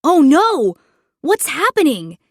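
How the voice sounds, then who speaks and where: Woman as a high, excitable sniffly storybook boy. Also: takes it slowly, dry recording, studio quality, full character voice. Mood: alarmed, sneezy. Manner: exclaiming, wailing, with bursts of sneezing. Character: sniffly, sneezy, dismayed young storybook character, male.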